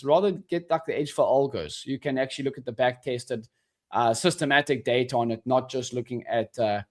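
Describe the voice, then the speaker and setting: A man in his twenties co-hosting a live trading stream, chatting casually and trailing off mid-sentence. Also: medium pitch. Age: 20s. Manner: laid-back, says "bro" and "dude", trails off mid-sentence.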